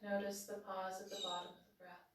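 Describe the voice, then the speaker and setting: A woman leading a guided breathing meditation at the end of a yoga class, giving slow, soothing instructions. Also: mid-range voice, flat delivery, noisy background. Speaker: yoga teacher. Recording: very roomy, from far off.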